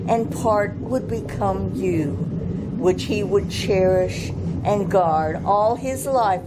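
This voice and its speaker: A woman playing a wise, loving old mermaid grandmother with a solemn storytelling cadence, in old-time radio drama style. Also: on the high side. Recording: very noisy live theater recording.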